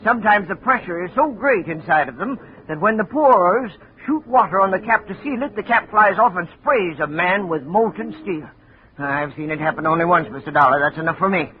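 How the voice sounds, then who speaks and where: An actor playing a worried, earnest steel plant supervisor who explains the work on the mill floor, in a 1950s radio drama. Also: male voice, sits high, animated, a little fast. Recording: dull old radio broadcast with hiss.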